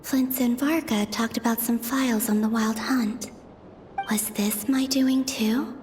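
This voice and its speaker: A woman voicing a soft-spoken, pensive young moon goddess in a game, with a breathy, hushed and measured delivery. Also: on the high side, steady tone, big-room sound, slight background noise, lightly acted. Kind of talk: dubbed game cutscene inner monologue.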